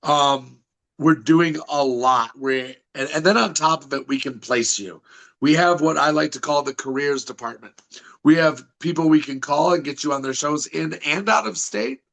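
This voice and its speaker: A man's voice: a little high, normal speed, vocal fry, fairly bright tone, poor audio. A man from Michigan with a Michigan accent, a wrestling school head talking as a podcast guest, confident and promotional, often restarting his sentences. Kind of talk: podcast interview.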